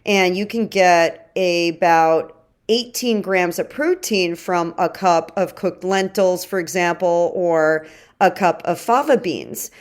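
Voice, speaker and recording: A woman with a fairly low voice hosts a podcast. She explains nutrition conversationally, addressing listeners directly with informal, emphatic phrasing. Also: takes it slowly. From Egypt. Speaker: podcast host and chef.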